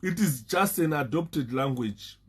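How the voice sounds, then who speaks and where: A man's voice: fairly high pitch, expressive, a little slow, slightly rough. A Zimbabwean man talking casually on a live stream, stopping and repeating words and laughing often. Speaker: Facebook live host.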